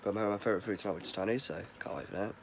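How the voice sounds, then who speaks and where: A young man with a head cold and a hoarse voice, rambling casually through a daily vlog. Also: lowish voice, rapid-fire, background noise. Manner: rambling, chatty, filler-heavy. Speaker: vlogger.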